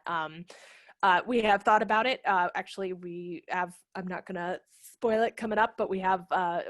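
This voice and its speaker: A woman in her thirties talking casually and explaining in an animated way on a webinar, speaking into a headset. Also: lowish voice, no room sound, studio quality. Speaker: theater director, guest presenter. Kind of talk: casual webinar question-and-answer talk. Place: small home room, video call. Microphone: headset microphone.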